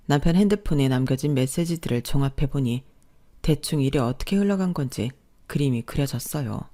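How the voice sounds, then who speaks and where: A woman's voice: sits low, dark tone. A woman narrating a first-person family drama in an indignant, confiding tone. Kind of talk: scripted first-person storytelling.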